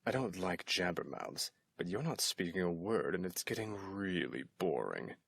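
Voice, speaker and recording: A man's voice: low voice, very animated, normal speed, creaky, a bit bright, breathy edge, full character voice. A man playing an obsessive, possessive kidnapper in an intimate ASMR roleplay, sweetly menacing and teasing, often dropping into whispers. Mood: cold, teasing.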